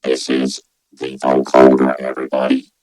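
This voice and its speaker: A man's voice: very deep voice, monotone, moderate pace, darkish tone, soft background music. A man demonstrating gear on a live stream, his voice turned into a robotic, musical tone by an analog vocoder. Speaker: host of a synthesizer live stream.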